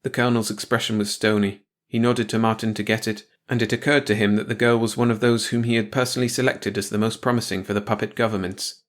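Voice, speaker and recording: A man's voice: fairly low pitch, little pitch movement, fast talker, few pauses. Man narrating a short story. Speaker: audiobook narrator.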